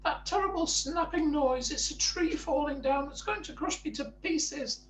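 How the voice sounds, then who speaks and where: A man's voice: extremely high pitch, normal speed, fairly bright tone, echoey room, far from the mic, slight background noise, pitched above the performer's own voice, low-quality recording. A man voicing a hysterically nervous little male storybook creature, shrieking in melodramatic alarm. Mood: panicked shrieking. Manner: melodramatic exclamations.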